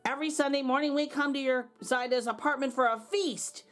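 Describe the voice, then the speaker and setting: A woman voicing hungry, chummy old neighbor men in a children's storybook, drawn-out and plaintive. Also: high-pitched, steady tone, a bit bright, voice pushed up. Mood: expectant.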